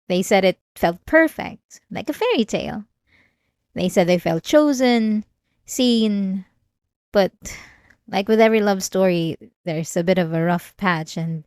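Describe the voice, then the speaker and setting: A woman acts out an obsessive female patient confiding in her therapist, in an intimate ASMR roleplay. She sounds calm and vulnerable on the surface, with a manipulative edge and hesitant, halting phrasing. Her pitch sits in the middle of the female range, and the recording is dry, with almost no room sound. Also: no room sound. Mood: intense, justifying. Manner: hesitant, halting, with sighs and nervous soft laughs.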